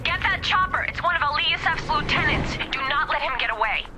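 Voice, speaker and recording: An actress playing a brisk female military handler who gives mission briefings in a game cutscene. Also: high voice, expressive, loud music behind the voice. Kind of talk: video game cutscene acting.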